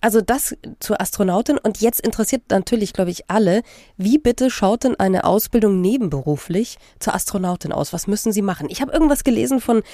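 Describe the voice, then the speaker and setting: A woman's voice: mid-range voice, expressive, a little fast, mellow, no room sound, studio quality. A woman interviewing a guest on the radio, asking long, layered questions.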